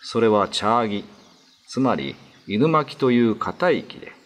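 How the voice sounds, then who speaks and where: A man's voice: lowish voice, animated, unhurried. A man giving scripted, solemn narration of an old ghost story.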